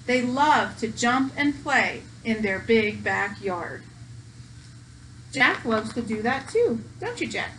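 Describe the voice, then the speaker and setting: A woman's voice: on the high side, bright, forceful tone, recorded from a distance, a little hiss or hum, low-quality recording. A woman reading a picture book aloud to young children, speaking simply and affectionately, as a school educator does.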